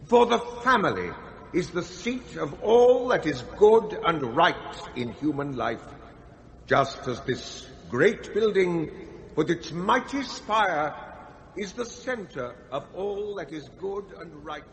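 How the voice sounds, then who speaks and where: A man's voice: high voice, lively intonation, slow, a bit bright, heavily acted. An actor playing a solemn, pompous clergyman delivering a declamatory sermon in a radio play. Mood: solemn, lofty. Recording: reverberant church, faint noise.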